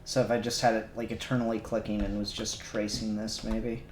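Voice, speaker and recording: A man's voice: fairly low pitch, monotone, a little fast, slight background noise. A man chatting casually as he hosts a live stream while working. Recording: roomy echo, music underneath. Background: music.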